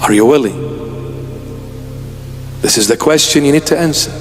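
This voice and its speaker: A man's voice: medium pitch, moderate pace. A bishop in his fifties preaching an earnest, emphatic sermon with repeated phrases. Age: 50s. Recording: long hall reverb with music behind.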